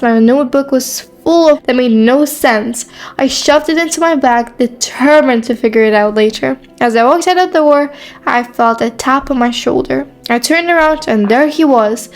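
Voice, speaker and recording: A woman's voice: high voice, moderate pace, faint music behind. A woman playing a shy but sarcastic teenage new girl, narrating a scripted romance story in the first person. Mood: guarded, flustered.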